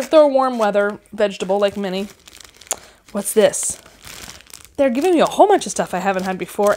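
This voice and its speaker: Excited woman gushing over seed packets. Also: mid-range voice. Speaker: gardening vlogger. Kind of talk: casual unboxing chat. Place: living room at home, indoors.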